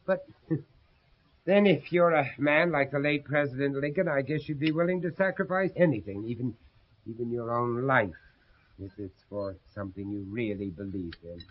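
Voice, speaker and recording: An older actor playing an earnest, haunted, courteous American gentleman in a radio drama, speaking in a measured, brooding way. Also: medium pitch, lightly acted. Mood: wistful, sombre. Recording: dull 1970s radio drama recording.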